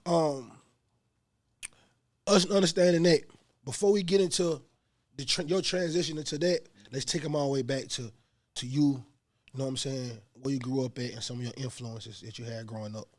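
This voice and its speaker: A man's voice: mid-range voice, moderate pace. A man hosting a casual podcast interview, talking animatedly in profane street slang and asking after his guest's story. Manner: animated, profane street slang, frequent "you know what I'm saying". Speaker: podcast host.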